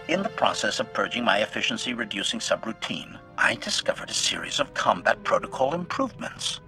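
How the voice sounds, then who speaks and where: A calculating killer droid from a video game, his low voice run through a robotic filter. He speaks in formal, clipped statements, labelling each one, and relishes carnage with deadpan, servile precision. Music plays clearly behind him. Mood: proud, menacing. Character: cold, calculating male assassin droid, gleefully bloodthirsty, loyal servant. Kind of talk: scripted video game acting. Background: background music.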